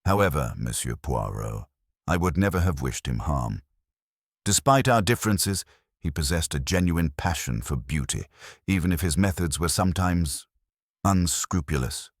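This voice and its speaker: A man reading a crisp aristocratic old lady. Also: rumbling low, animated, normal speed, dry recording, studio quality, full character voice. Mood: composed. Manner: crisp, clipped and formal. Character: proud aristocratic woman in her seventies.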